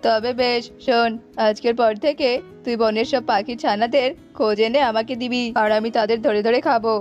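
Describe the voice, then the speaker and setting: A woman's voice: a little high, steady tone, normal speed, darkish tone, voice pushed down, natural voice. A woman voicing a greedy male fox uncle in a children's cartoon, commanding, taunting and menacing. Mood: bossy. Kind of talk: children's animated folk-tale acting. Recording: dull lo-fi audio with music behind.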